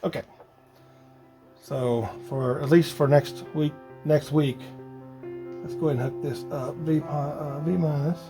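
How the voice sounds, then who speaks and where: A man narrating a hands-on DIY job casually, halting and muttering to himself as he works. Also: fairly high pitch, steady tone, music in the background. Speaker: vlogger building a radio kit.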